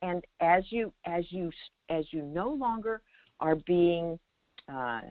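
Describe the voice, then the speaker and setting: Expressive teacher on a phone line. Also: mid-range voice, slow, no room sound. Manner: emphatic, repeats phrases, checks in with "right?" and "okay?". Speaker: host, meditation teacher answering a caller. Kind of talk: spiritual guidance in a call-in conversation. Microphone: phone call. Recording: narrowband phone line sound.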